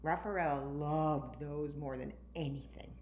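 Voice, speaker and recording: A woman reading a children's story aloud with animated, emphatic narration. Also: deep voice, unhurried. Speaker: storytime reader. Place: indoor room, recorded at home. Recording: roomy, echoey, faint background noise.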